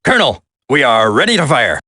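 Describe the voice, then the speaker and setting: Military subordinate for a game: obedient, clipped, bright rough voice with lively pitch. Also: male voice, a little fast, no room sound, exaggerated voice. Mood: brisk, obedient. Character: dutiful military subordinate reporting to a colonel. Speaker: voice actor in a video game.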